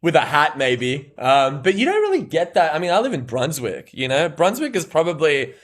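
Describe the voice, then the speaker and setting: A man's voice: on the high side, huge pitch swings, normal speed. Laid-back Australian man, swearing casually. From Argentina. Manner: laid-back, swears freely, laughs often. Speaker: podcast host. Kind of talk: casual chat.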